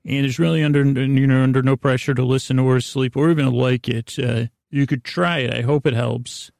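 A man hosting a sleep podcast, giving a gentle, soothing, meandering bedtime monologue in a halting way full of trailing asides. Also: medium pitch, steady tone, gravelly, no room sound, clean studio sound.

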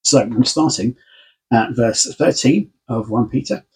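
A man's voice: mid-range voice, measured pace, a little mellow, husky, poor audio. A man, a pastor giving a casual, conversational sermon from his home study. He is under the weather and sounds snuffly and congested. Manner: friendly, conversational, informal. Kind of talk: casual sermon and Bible reading.

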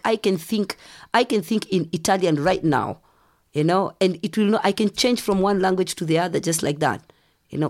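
A middle-aged woman from Kenya, telling lively stories as a podcast guest and acting out the voices of people she quotes. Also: fairly low pitch. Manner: animated storyteller, acts out quoted voices. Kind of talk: casual storytelling interview.

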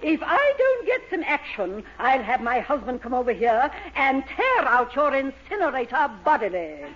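An actress playing a prim, indignant middle-aged female neighbor, with huffy lines and wide swings in pitch. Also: big pitch swings, normal speed. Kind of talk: 1940s radio comedy acting. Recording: dull old radio recording with hiss.

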